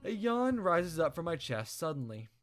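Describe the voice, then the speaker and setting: A man hosting a casual playthrough, reading a visual novel aloud with expressive storytelling. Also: on the high side, moderate pace. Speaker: let's play host. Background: game music.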